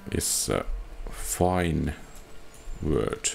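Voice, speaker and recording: Very deep, gravelly, slow-talking man. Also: animated, a little mellow, a little hiss or hum. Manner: musing, thinking aloud. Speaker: game streamer. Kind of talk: slow, casual gameplay commentary. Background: video game music.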